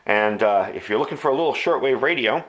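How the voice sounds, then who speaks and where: An American man with a mid-pitched voice reviews gear in a casual, hobbyist way. He talks informally through each step as he shows it, like an enthusiast explaining his equipment. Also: animated, quick pace, fairly bright tone, low-quality recording. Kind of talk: casual product review and demonstration.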